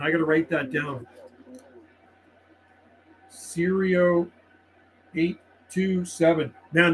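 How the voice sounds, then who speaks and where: High-voiced Canadian man over clear background noise. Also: a bit bright, poor audio. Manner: friendly, laid-back, uses buddy and man often. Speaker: livestream host and CB radio operator. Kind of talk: casual radio chat and channel calls. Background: CB radio noise and ambience.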